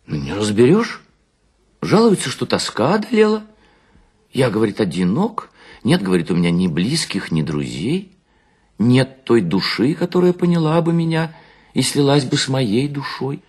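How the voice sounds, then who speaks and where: A man playing a chatty, gullible husband in a story reading, animated and scoffing as he gossips. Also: mid-range voice, normal speed, husky, voice pushed up. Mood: gossipy. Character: chatty, gullible husband mockingly quoting his wife's admirer.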